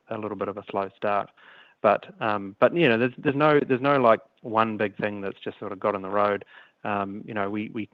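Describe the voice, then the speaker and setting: Male executive on an earnings call, lowish voice, halting with repeated restarts, echoey dulled line. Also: highs cut off. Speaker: company executive answering analysts. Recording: echoey conference call audio, band-limited.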